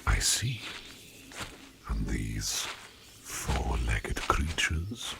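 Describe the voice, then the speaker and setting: Man playing a very deep, whispery, slow demon. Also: big pitch swings, noisy background, heavily acted. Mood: pleased, curious. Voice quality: breathy, often dropping into whisper. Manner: formal, archaic, deliberate phrasing with many pauses. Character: courtly sleep demon disguised as a human man, curious, gentle. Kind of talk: ASMR roleplay, soft intimate half-whispered monologue. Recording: birdsong and forest ambience behind voice. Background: birdsong, outdoor nature sounds.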